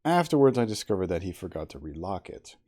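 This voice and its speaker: A man reading a classic novel aloud as ASMR, in a soft, intimate, slow dramatic storytelling manner. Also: sits low, very animated. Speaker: audiobook reader in character as the narrator.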